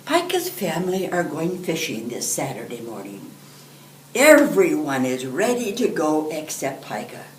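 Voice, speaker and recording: Slow-paced elderly woman reading a storybook. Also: sits low. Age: 70s or older. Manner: expressive, deliberate storytelling. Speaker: children's storyteller. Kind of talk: reading a picture book aloud to children. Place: indoor room, seated. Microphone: camera a few feet away. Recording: roomy, distant microphone, background hiss.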